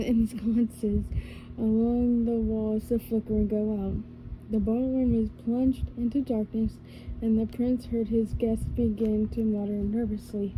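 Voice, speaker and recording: Female ASMR reader, unhurried and soft, dark warm tone, small self-corrections. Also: fairly high pitch, a little hiss or hum, rough recording. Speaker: ASMR storyteller. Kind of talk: soft ASMR story reading.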